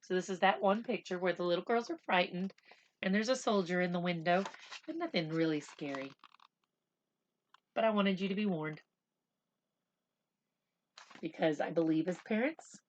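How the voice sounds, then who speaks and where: A woman's voice: mid-range voice, lo-fi sound, a little hiss or hum. Woman reading aloud expressively to children. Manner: expressive, slow and deliberate when reading, chatty when explaining; explains words simply, as if to children. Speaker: school reading teacher reading a picture book aloud for children. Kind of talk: read-aloud storytelling with casual explanatory asides.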